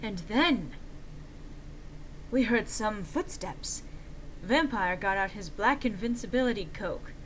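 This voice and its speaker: A woman's voice: medium pitch, expressive, slow, pauses often, fairly bright tone, slight background noise, poor audio. A woman giving a theatrical, exaggerated, mock-earnest dramatic reading of fan fiction as narrator.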